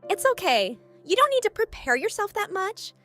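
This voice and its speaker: A woman playing a cool, poised woman in her twenties in an animated comic dub, composed, direct and probing. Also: very high-pitched, normal speed, exaggerated voice. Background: background music.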